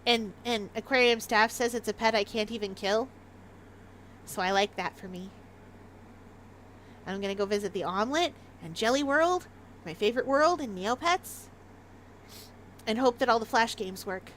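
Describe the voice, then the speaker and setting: A woman's voice: on the high side, normal speed, long pauses, a bit bright, slight background noise. A Canadian woman with a light Maritime Canadian accent, chatting casually and giggling as she livestreams a game. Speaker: game streamer.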